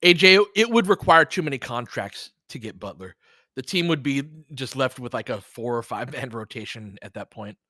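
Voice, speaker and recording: Casting: American male sports streamer, bright forceful voice, close dry sound, informal play-by-play mixed with chat. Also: medium pitch, expressive, moderate pace, dry recording. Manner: conversational, reacting to chat comments. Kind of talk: casual live sports commentary and chat.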